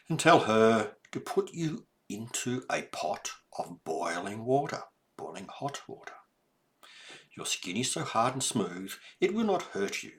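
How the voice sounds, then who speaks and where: A man voicing a kind, wise hen from a storybook, giving advice in an earnest, deliberate way. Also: mid-range voice, a bit bright.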